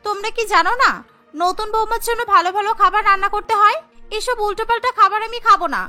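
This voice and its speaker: A woman's voice: extremely high pitch, fairly even intonation, fast talker, sharp tone, faint music behind. A woman voicing a spoiled, indignant young bride in a cartoon, with a whiny, demanding way of talking. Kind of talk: Bengali cartoon voice acting.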